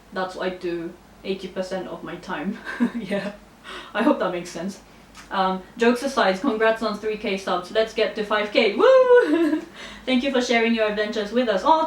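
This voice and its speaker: A young adult woman from Japan, a native Japanese speaker, chatting casually to camera as a vlogger with a playful, self-deprecating manner. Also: medium pitch. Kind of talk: casual chat to camera, answering viewer comments. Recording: roomy, distant mic, faint background noise.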